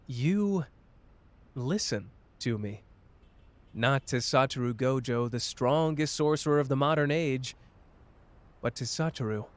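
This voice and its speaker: A man playing a cocky but wounded young sorcerer in an intimate roleplay audio drama, with halting, emotional delivery. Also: medium pitch, expressive, natural voice. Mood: tender, earnest.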